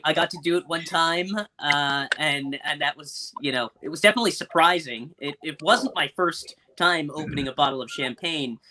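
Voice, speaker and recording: A man's voice: a little high, sharp tone. A young man, an actor, answering interview questions casually over a video call. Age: young adult. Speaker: stage actor and Foley performer. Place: indoors in a room at home, on a video call. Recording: clicky video call with faint noise.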